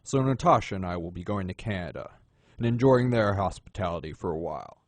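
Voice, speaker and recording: A man playing a suave, menacing gentleman crime boss in an audio drama, unhurried and courtly in manner. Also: sits low, animated, dry recording. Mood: smooth, self-assured.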